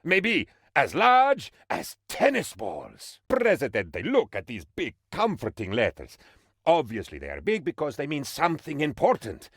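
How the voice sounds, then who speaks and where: A man voicing an excitable, pompous and dim-witted sycophantic aide to a dictator in a video game, with theatrical, naively enthusiastic delivery. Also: on the high side, measured pace, breathy edge, no echo, clean studio sound, heavily acted. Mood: earnest, naive. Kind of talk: video game character voice lines.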